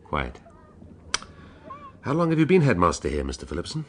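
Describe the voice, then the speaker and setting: An actor playing a dry, sardonic middle-aged police inspector in a radio drama. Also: male voice, sits low, wildly swooping pitch, moderate pace, slight background noise. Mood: probing. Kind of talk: BBC radio drama acting.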